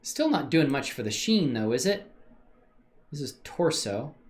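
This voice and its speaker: Casual streamer guy, mutters through his work, pitch bounces a lot. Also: measured pace. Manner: muttering and thinking aloud while working, answering chat; relaxed slangy talk with many fillers. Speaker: livestreamer doing 3D modeling. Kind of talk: casual think-aloud livestream.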